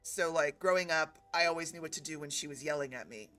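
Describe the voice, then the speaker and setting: A young woman from California chats casually on a game stream. Her voice is bright and forward. She laughs easily and drops in bits of foreign phrases. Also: low voice, fast, soft background music. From West Coast of California. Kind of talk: casual chat.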